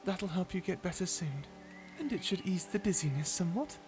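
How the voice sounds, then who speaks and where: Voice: medium pitch, lively intonation, a little fast, over music, natural voice. A performer voicing a kind guardian angel in an ASMR roleplay, soothing, earnest and encouraging. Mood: tender. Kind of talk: ASMR roleplay character acting.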